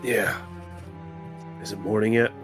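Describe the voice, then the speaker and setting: A man's voice: lowish voice, steady tone, measured pace, music in the background. A man talking casually as a player in a tabletop roleplaying game.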